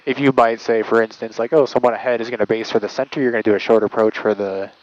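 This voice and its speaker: A man's voice: on the low side, fairly even intonation, quick pace, a little mellow, dull audio. A man working as an air traffic controller, giving explanatory interview answers full of aviation jargon.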